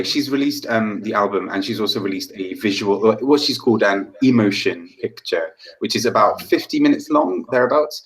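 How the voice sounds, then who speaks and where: A man's voice: on the low side, low-quality recording. A man co-hosting a casual chat, hesitant and searching for words. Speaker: co-host.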